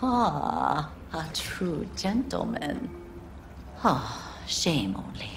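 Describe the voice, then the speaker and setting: Low, silky older female crime fixer. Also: noisy background, exaggerated voice. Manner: sly, mock-courteous, deliberate. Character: shrewd older Japanese female underworld fixer, silky and sardonic. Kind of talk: dramatic game dialogue.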